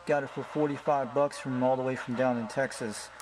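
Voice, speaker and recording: A man's voice: medium pitch, steady tone, brisk. A man, an amateur CB radio operator, talking casually and informally in a friendly way with radio slang. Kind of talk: casual hobbyist chat and radio talk. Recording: clearly noisy home video recording.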